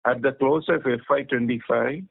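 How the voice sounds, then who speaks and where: Gravelly Indian businessman on a phone line. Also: medium pitch, moderate pace. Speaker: company managing director. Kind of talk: formal prepared business remarks. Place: conference phone call. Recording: thin, tinny phone line.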